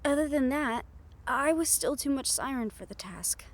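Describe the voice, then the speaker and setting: A woman playing a gentle, wistful half-siren, half-banshee who confides softly in an intimate roleplay audio, with reflective pauses. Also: high voice, lively intonation. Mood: solemn, reflective.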